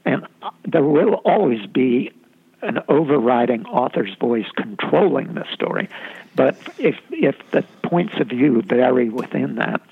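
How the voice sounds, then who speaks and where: A man, a novelist, talking reflectively about his writing in an interview, halting often with fillers and restarts. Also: mid-range voice, expressive, vocal fry, breathy edge. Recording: tinny phone-line sound.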